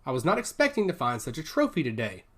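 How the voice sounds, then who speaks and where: Man playing an armored military captain, cocky, arrogant, contemptuous, animated mid-pitched voice, audiobook dialogue. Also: fast. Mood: cocky, boastful.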